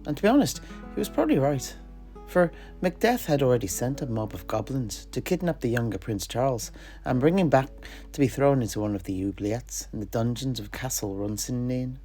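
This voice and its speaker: Mellow, grave fantasy storyteller. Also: medium pitch, animated, brisk, faint music behind. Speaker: storyteller of a fantasy lore show. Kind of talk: in-character dramatic storytelling of fictional history.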